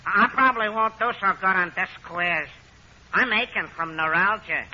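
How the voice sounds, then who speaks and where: High-pitched hapless dimwit. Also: male voice, bright, forceful tone, full character voice. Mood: glum. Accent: American. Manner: hapless, slow-witted, ungrammatical. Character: dim-witted, gloomy henpecked male quiz contestant. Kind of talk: 1940s radio comedy acting. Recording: noisy, dull old radio recording.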